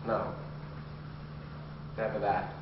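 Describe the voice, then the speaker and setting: A brooding male vampire occultist, played by an actor, delivers a dramatic stage monologue. He speaks haltingly, with weighty pauses. The recording is dull and distant, with heavy room echo. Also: lowish voice, flat delivery. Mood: fervent, solemn. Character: brooding male vampire occultist confessing his dark transformation. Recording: very echoey room, distant dull mic, faint hiss.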